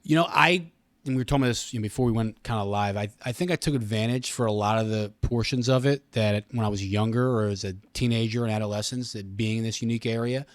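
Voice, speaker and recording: A man's voice: lowish voice, quick pace, dry recording. A middle-aged man with an American accent, explaining things earnestly in a casual interview and often doubling back on his phrases. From Pennsylvania, United States. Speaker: radio station communications director, podcast guest.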